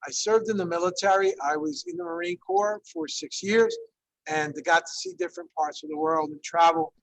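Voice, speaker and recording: High, gravelly man teaching online. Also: sing-song, moderate pace, fairly bright tone, dry recording, low-quality recording. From Ecuador. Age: 50s. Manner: conversational, many fillers, frequent check-ins. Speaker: Spanish teacher leading a workshop. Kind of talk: online workshop presentation. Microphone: video call.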